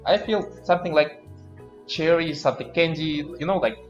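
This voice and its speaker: A man's voice: a little high, normal speed. Muffled man co-hosting a beer tasting. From Kazakhstan, from Astana. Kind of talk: casual livestream tasting chat. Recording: muffled livestream audio with music behind. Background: clear background music.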